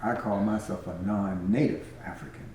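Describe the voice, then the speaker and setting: Older man, dark voice, echoey room. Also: fairly low pitch, normal speed, slight background noise. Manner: emphatic, repeats key phrases. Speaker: community elder. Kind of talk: casual group conversation.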